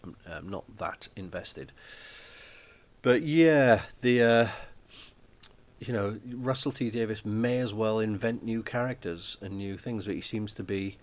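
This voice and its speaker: Rambling man, low voice. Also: measured pace. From Wales. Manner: rambling, chatty, many ums and restarts. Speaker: host of a live stream. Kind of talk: casual live-stream chat. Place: small flat at home.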